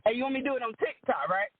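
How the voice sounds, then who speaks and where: A woman with a deep alto voice, chatting casually as a guest and laughing often. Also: fast, crowd noise. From Rome, Georgia, US. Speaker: guest singer and entertainer. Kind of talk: casual chat. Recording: dry phone-line call audio.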